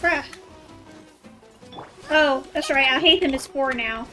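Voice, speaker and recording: A woman game streamer with a high voice chats casually as she plays. Her remarks are playful and slangy, and game music runs clearly behind her. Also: takes it slowly, bright, forceful tone. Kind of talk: casual live gameplay commentary.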